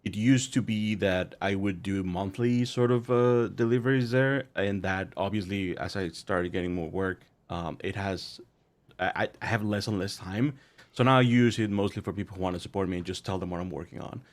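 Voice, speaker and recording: A man's voice: low voice, moderate pace. A man whose first language is Spanish, a guest writer talking casually and hesitantly with many restarts. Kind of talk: casual interview talk.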